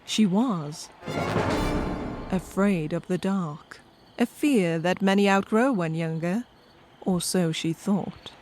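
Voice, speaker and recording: Lower-pitched wry woman narrator. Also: takes it slowly, pauses often, a little mellow, faint music behind. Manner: wry, conversational asides to the listener. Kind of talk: scripted comedic storytelling narration.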